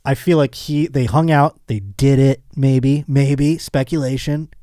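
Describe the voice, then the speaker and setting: A man's voice: mid-range voice, a little slow, warm tone, no echo, studio quality. A man hosting a casual comedic podcast, rambling and joking as he speculates. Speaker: podcast host.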